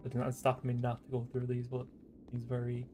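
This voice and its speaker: Male gaming commentator, very monotone, casual chat, music behind. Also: mid-range voice, a little fast.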